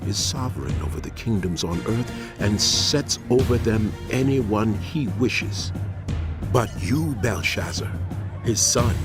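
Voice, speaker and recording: An actor playing a solemn, fearless prophet who rebukes kings in a dramatized audio Bible, with grave, declamatory, deliberate phrasing. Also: male voice, on the low side. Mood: stern rebuke.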